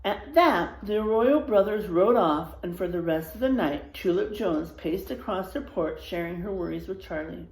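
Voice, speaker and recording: A woman reading a children's story aloud expressively, pausing for asides to her young listeners. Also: on the low side, lively intonation, creaky, a little mellow, echoey room, poor audio.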